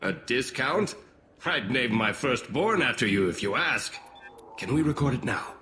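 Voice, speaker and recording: An actor playing a proud, eager alien gun shop keeper in a video game. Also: male voice, medium pitch, animated, measured pace, music in the background, close to an everyday voice. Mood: awed, eager. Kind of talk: video game character acting.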